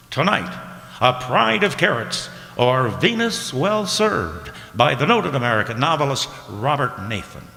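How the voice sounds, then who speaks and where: An actor playing a grand, formal network announcer in the style of 1950s radio. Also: male voice, mid-range voice, pushed and bright, heavily acted. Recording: very echoey hall, faint music behind.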